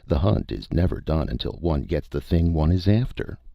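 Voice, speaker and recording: Defiant male hunter, performed by an audiobook reader: mocking, very gravelly, lively inflection, slightly muffled sound. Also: rumbling low, moderate pace, no room sound, voice pushed up, heavily acted. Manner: sardonic, taunting. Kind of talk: audiobook character voice.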